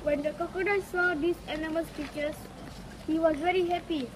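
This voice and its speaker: A woman's voice: very high voice, steady tone, a little fast. A woman reading a folk tale aloud. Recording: clearly noisy recording with ambient sound.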